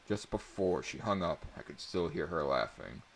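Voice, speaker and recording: A man's voice: deep voice, expressive, normal speed, vocal fry, slight background noise. A man narrating a first-person story as a dramatic reading.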